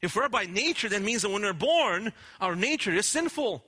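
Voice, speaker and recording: A man's voice: sits high, animated, normal speed, sharp tone, slightly rough. A man preaching a sermon in an earnest, emphatic way, often restarting his words. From Romania. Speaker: pastor.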